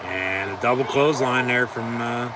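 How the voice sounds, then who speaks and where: A man's voice: mid-range voice, heavy background noise. Rambling man calling wrestling, far from mic. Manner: rambling, profane, halting with fillers. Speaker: watch-along commentator. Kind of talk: casual play-by-play reaction.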